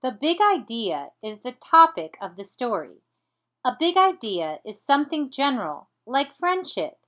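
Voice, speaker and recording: A woman teacher giving an instructional lesson, explaining deliberately step by step. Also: fairly high pitch, sing-song, a bit bright. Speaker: teacher presenting a writing activity.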